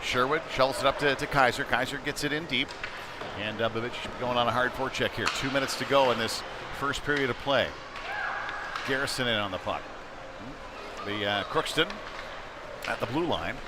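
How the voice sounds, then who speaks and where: A man commentates live on an ice hockey game in a medium-pitched voice. He talks in short, conversational fragments that follow the play. The noise of the rink is clearly audible around him. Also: normal speed, pauses often. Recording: noisy live rink broadcast.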